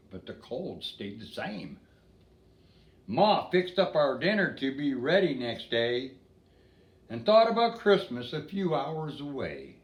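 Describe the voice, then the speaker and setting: A man's voice: a little high, reverberant. An older man reading a storybook in verse in a folksy way, with casual asides to a listener.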